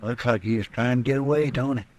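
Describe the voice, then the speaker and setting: Guy doing folksy cowboy deputy, anxious n rambly, medium pitch, bit of old radio noise. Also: fast talker, darkish tone, natural voice. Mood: uneasy. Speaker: radio drama actor. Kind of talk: old-time radio western acting. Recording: noisy old radio recording.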